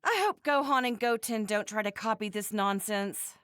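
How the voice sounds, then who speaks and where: A woman's voice: mid-range voice, normal speed, a bit bright, smooth, no echo, clean studio sound, natural voice. A woman voicing an exasperated, nagging housewife and mother in an anime video game dub, with fretful, scolding delivery. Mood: disapproving. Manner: fretful, scolding, dramatic complaining. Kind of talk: English-dubbed anime game voice acting.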